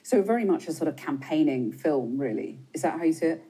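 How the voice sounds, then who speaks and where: A woman presenting the news and interviewing a guest with crisp, probing questions in a TV studio. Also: low voice, animated, brisk, mellow, poor audio.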